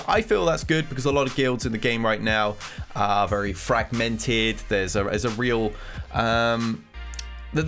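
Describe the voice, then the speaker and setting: A man's voice: fairly low pitch, a bit bright. A man with a cold and a nasal voice, giving casual commentary on game news as a gaming content creator. He rambles and thinks aloud as he reacts.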